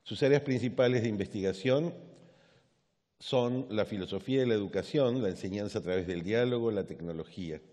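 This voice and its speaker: Middle-aged man, stage host, reading an introduction from notes, mellow, long hall reverb. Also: fairly low pitch. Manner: reads from paper, measured with small restarts. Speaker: event host introducing the keynote speaker. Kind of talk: formal introduction read from notes. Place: large conference stage, seated.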